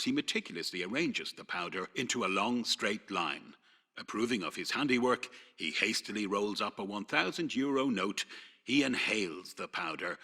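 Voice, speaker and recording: A man narrating a scripted radio play in a husky voice and a theatrical manner. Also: on the low side, sharp tone.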